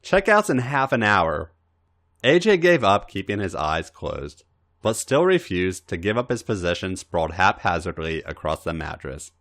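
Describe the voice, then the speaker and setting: A man with a fairly low voice reads a story aloud like a dramatic audiobook. His pitch moves very widely from phrase to phrase, and his delivery is emphatic and theatrical. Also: sing-song, normal speed, fairly bright tone. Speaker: podcast host reading fan fiction aloud. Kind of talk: dramatic audiobook-style narration.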